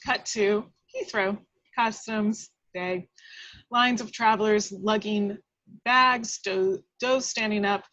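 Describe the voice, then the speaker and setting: A woman's voice: medium pitch, fairly even intonation, slow, fairly bright tone, lo-fi sound. A woman reading stage directions as the narrator of a script table read, in a dramatic storytelling style.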